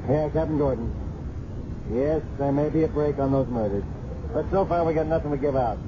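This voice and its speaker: A man's voice: on the high side, a little fast, close to an everyday voice. A man acting as a weary police captain in a 1970s radio drama, terse, wry and colloquial. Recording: dull, noisy 1970s radio recording.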